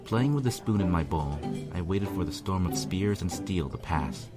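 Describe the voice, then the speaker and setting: Low-voiced man narrating over music. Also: expressive, moderate pace. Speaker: fan fiction reader, narrator. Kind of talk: first-person dramatic story narration. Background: instrumental music.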